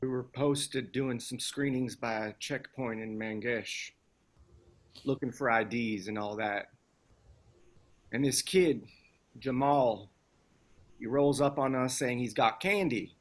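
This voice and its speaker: A man acting out a wisecracking ex-soldier turned activist in a drama. He talks casually and profanely at a middle pitch, as in ordinary conversation. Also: slow, pauses often, natural voice. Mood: wry storytelling. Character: gruff, wisecracking male activist McKenna, an ex-soldier comforting his dying lover. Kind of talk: screenplay table read acting.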